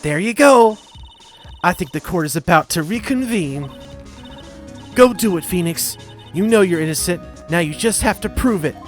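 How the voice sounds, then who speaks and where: A man's voice: high-pitched, expressive, moderate pace, soft background music. A man voicing a dead young woman mentor in an amateur game dub, confident, coaxing and encouraging.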